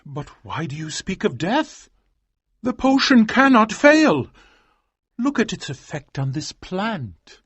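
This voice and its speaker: A man voicing an ambitious scientist husband in a storybook reading, speaking in an earnest, deliberate way. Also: sits high, very animated, fairly bright tone, slightly rough, dry recording, pitched above the performer's own voice, exaggerated voice. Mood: calm. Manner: earnest, deliberate, drawn-out delivery. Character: ambitious male scientist, devoted yet obsessive husband. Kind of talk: audiobook character reading.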